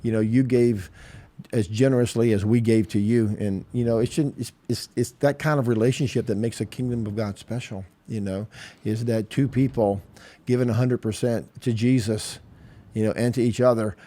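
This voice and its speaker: A man in his late fifties, a pastor hosting his own podcast. He talks in a relaxed, friendly way and drops "man" and "yeah" into his sentences. His voice sits at a middle pitch. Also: moderate pace. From southeastern Louisiana. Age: 50s. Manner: warm, affectionate, says "man" and "yeah" a lot. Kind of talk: casual conversation.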